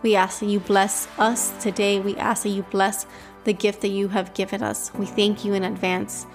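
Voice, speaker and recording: A woman's voice: mid-range voice, little pitch movement, normal speed, soft background music. A young woman with a Cuban accent hosting a devotional podcast, teaching scripture and leading prayer in an earnest, emphatic way.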